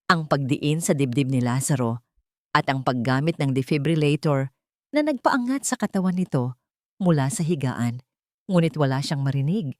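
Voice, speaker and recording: Filipino woman narrator, dramatic and lively pitch. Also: dry recording, studio quality. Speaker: narrator of love stories. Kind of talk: dramatic scripted story narration.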